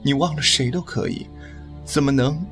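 A man plays a young male lover in an audio drama, tender and devoted. He speaks at a middle pitch with a teasing touch. Music is clearly heard underneath. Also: moderate pace, natural voice. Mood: wounded.

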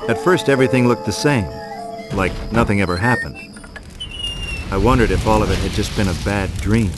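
An actor playing a weary, tough, wisecracking horror game hero, deadpan with dramatic pauses. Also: male voice, on the low side, close to an everyday voice. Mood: brooding, wry. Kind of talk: scripted first-person horror game narration. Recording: loud music behind voice.

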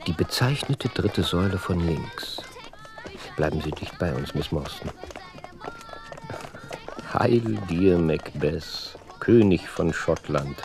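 An actor playing a cool, analytical gentleman detective in a radio drama, dry, self-assured and clipped. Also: low-pitched, expressive, slow, long pauses. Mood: terse, focused.

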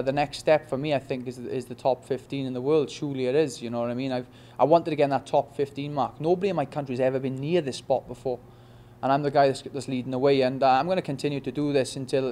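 A young man with a Welsh accent in a post-fight interview, talking quickly with many restarts and "you know what I mean". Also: medium pitch, warm tone. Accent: Welsh, Swansea. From Wales. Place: press backdrop indoors. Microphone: reporters' handheld mics in front.

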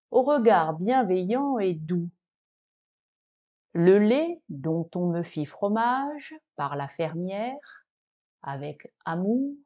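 A woman voicing a vain, naive cheese in a recited poem, lamenting its fate in a plaintive, theatrical way with dramatic pauses. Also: medium pitch, highs cut off. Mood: proud, nostalgic.

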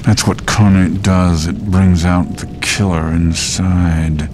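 A male actor playing a sadistic ghostly executioner in a video game, speaking with breathy, savoring, seductive menace. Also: low-pitched, steady tone, slow, noisy background. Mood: gloating, intimate. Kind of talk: video game villain monologue. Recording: loud music over the voice.

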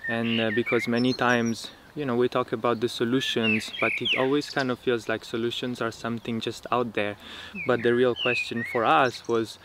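A man's voice: mid-range voice, moderate pace, hardly any pauses. Young man talking outdoors among birds. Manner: relaxed, thoughtful, with frequent fillers. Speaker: permaculture teacher. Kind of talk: casual interview talk. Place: outdoors in a dry rural field. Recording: outdoor countryside noise. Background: birds and insects in the countryside.

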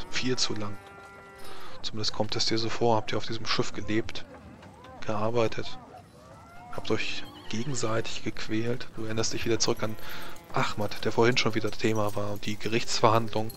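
A man narrating as game master, improvising atmospheric scenes in measured, short phrases with pauses. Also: lowish voice, fairly bright tone.

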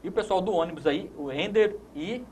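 A man's voice: sits high, a little fast, crowd noise. A man in his thirties with a Brazilian accent, hosting a conversational sports talk show in a studio. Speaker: host.

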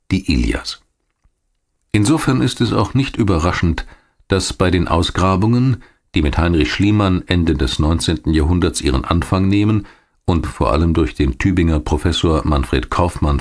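A man narrating a scripted history documentary in a measured, articulate storytelling manner. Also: deep voice. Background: music.

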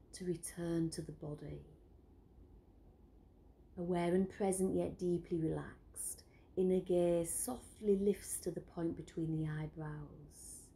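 A woman guides a breathing meditation at a very slow, unhurried pace. Her voice is dark and mellow with a gravelly edge, often falling to a hushed whisper. Faint ambient noise sits behind her. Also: sits low. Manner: slow, soft, soothing instructions with frequent whispered phrases.

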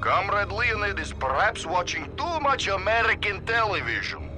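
An actor playing a gullible, vodka-loving Soviet cosmonaut with a Russian accent, speaking theatrical broken English. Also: male voice, high-pitched, sing-song, normal speed, music in the background. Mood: condescending pity. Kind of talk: comic video game cutscene acting.

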